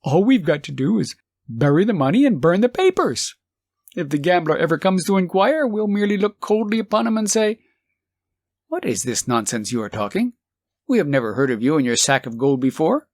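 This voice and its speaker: A man playing a poor old working husband in an audiobook story, excitable and emphatic. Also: fairly high pitch, fairly quick, no room sound, clean studio sound, pitched above the performer's own voice. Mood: gleeful.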